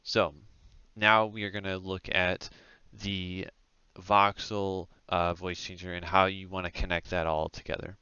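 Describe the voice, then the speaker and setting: Male tutorial presenter, informal and halting, bright crisp delivery on a close, lo-fi headset. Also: low voice, flat delivery, no room sound. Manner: informal, hesitant step-by-step explaining. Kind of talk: casual software tutorial narration. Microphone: close headset microphone. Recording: dull, lo-fi headset audio.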